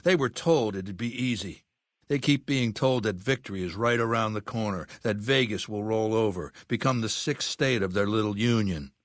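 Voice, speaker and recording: A man's voice: on the low side, moderate pace, dry recording, studio quality, lightly acted. An older man playing an imperious, ruthless warlord in a video game, speaking with cold, commanding, formal diction. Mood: grim, calculating. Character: imperious, ruthless ageing male warlord commanding a slaver legion. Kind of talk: video game character acting.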